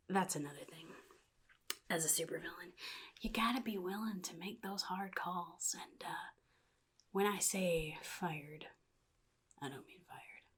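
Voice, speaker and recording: A woman playing a sly, chaotic supervillain in a soft-spoken ASMR roleplay, often dropping into a breathy whisper with a casual, menacing drawl. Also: lowish voice. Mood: quietly menacing. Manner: casual drawl, offhand, slyly threatening.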